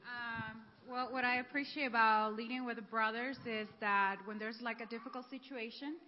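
A woman sharing in a group in a measured, hesitant way with frequent pauses. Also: on the high side, fairly even intonation, slight background noise. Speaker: church group member. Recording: echoey hall, dull sound.

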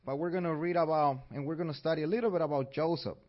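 A man's voice: on the high side, steady tone, fast. A man from Mexico with a Mexican accent, teaching a church class and asking his audience questions in an upbeat way. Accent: Mexican Spanish. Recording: dull lo-fi recording.

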